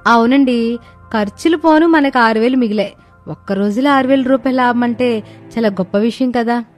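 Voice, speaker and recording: A woman's voice: fairly high pitch, moderate pace, soft background music, natural voice. A woman playing a sweet, polite young daughter-in-law who explains things earnestly in a story cartoon. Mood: pleased, explaining. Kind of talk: dramatized moral story cartoon.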